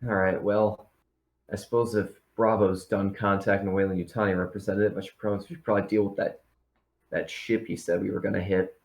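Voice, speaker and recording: A man playing a practical, steady spaceship crew member with casual, matter-of-fact phrasing in a tabletop game. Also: low-pitched, fairly quick. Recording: echoey room.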